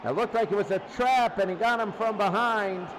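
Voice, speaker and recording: High-voiced man calling football over noise. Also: a little fast. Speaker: play-by-play sports commentator. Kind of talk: American football radio-style play-by-play commentary.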